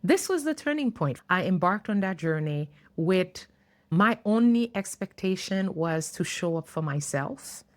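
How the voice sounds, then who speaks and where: A woman in her fifties tells her own story to camera in a low, earnest voice. English is not her first language, and she talks reflectively, laughing lightly now and then. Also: lively intonation, unhurried. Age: 50s. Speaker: YouTuber.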